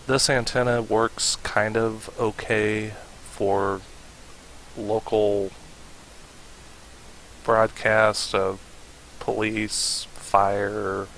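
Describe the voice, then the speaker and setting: A man with a fairly low voice hosts a casual how-to tutorial. He speaks slowly and haltingly, pausing to think, with very little rise and fall in his tone. A faint steady hiss sits behind him. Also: poor audio. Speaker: tutorial host.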